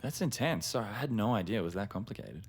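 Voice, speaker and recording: A man's voice: fairly low pitch, animated, fast talker, slightly rough. A man playing a breezy, confident, carefree traveller in a naturalistic audio drama, speaking casually with easygoing bragging. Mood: surprised. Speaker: supporting actor in a fiction podcast.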